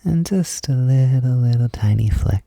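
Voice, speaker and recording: Male, soft intimate roleplay, encouraging and gentle, gravelly fry, very dry close recording. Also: mid-range voice, lively intonation, moderate pace, no room sound. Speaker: roleplay audio performer. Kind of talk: soft-spoken intimate roleplay, like a personal makeup tutorial.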